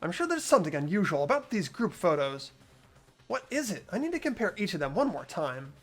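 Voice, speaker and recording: The host of a friends' game playthrough, voicing the protagonist with steady deductive reading and laughing between lines. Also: medium pitch, a little fast, soft background music. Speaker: host and lead voice actor of a playthrough. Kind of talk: narrating and voicing a game protagonist, casual chat.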